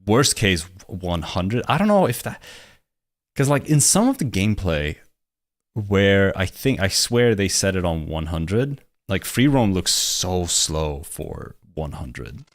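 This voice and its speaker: A man's voice: sits low, sing-song, takes it slowly, dry recording, studio quality. A man chatting casually with a friend, hesitant and full of restarts. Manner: hesitant, lots of fillers and restarts. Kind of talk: casual chat.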